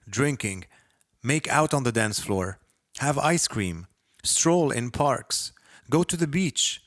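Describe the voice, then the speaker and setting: A man's voice: mid-range voice, a bit bright, no echo. Man reading fiction, very dry sound. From Lebanon. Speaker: author reading own short story. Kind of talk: scripted first-person literary narration.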